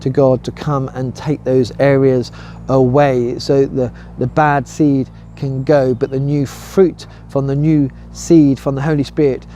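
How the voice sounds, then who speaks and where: A man's voice: mid-range voice, flat delivery, unhurried, dark tone, a little hiss or hum. A man speaking as a curate, giving an informal Advent devotional message.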